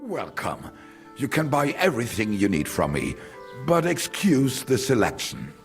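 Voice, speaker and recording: An actor playing a grumbling, condescending village merchant in a video game, with a German accent and German phrases slipped in. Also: male voice, mid-range voice, huge pitch swings, unhurried, faint music behind. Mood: rueful. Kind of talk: video game character dialogue.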